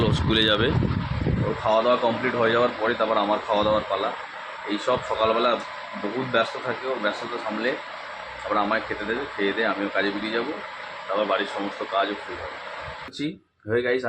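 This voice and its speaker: A man giving casual daily vlog narration, speaking to his viewers as he goes about his day. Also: fairly low pitch. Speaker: vlogger. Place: on location at home, roads and work sites. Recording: clear vehicle and outdoor noise.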